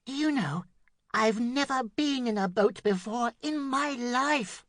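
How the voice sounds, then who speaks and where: A woman's voice: fairly high pitch, lively intonation, takes it slowly, no room sound, studio quality, pitched above the performer's own voice. A woman voicing a shy, eager little male mole from a children's storybook, earnest and given to exclamation. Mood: shy wonder.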